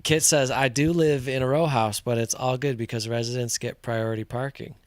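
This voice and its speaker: A man's voice: mid-range voice, steady tone, brisk, dry recording. A man co-hosting a live stream, chatting casually and reading viewer comments in an easygoing, agreeable way.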